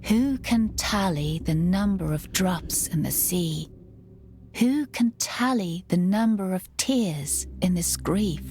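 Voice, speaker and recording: A woman's voice: fairly low pitch, expressive, slow pace, a little mellow. A woman playing a solemn, mournful mystic, giving a poetic lament in a video game.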